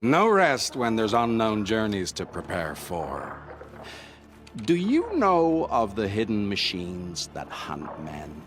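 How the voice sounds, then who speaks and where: Game explorer, theatrical, dragging pace, lively melody, music behind. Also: male voice, on the low side, slow pace, heavily acted. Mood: ominous, dramatic. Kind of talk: video game cutscene acting.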